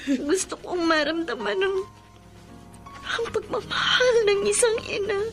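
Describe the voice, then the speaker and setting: A devoted young daughter-in-law in a radio play, with a very high, soft voice. She speaks slowly and tenderly, close to tears. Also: lively intonation, over music. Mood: tearful. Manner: gentle, tearful. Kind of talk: radio drama acting.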